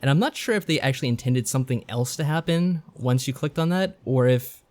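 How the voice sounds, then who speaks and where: A man's voice: mid-range voice, lively intonation, brisk, a little mellow. A man co-hosting a podcast in casual chat, speaking in a tentative, understated way. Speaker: podcast co-host.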